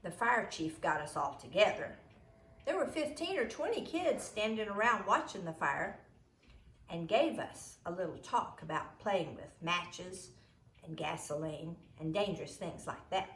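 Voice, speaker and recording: A woman reading a story aloud expressively, with deliberate, emphatic phrasing. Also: lowish voice, animated, a bit bright, reverberant, a little hiss or hum.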